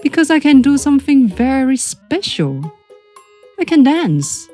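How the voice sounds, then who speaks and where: A woman voicing a small, clever trickster man who talks in a playful, coaxing way in a storybook read-aloud, with soft music underneath. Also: high-pitched, sing-song, unhurried, voice pushed up.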